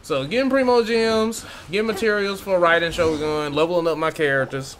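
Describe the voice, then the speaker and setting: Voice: mid-range voice, a little fast, a little hiss or hum, low-quality recording. A game streamer giving casual, rambling playthrough commentary with slang and swearing.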